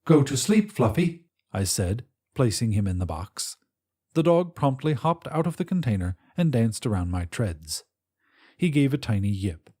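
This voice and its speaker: Mellow male narrator with expressive pitch. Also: normal speed, breathy edge. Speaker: audiobook narrator. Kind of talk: scripted first-person fiction narration.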